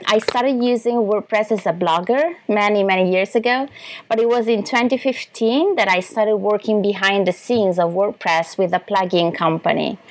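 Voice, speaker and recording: A woman's voice: mid-range voice, moderate pace. A woman from Italy, a podcast guest, sharing a prepared story in a careful, earnest way. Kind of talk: prepared testimonial.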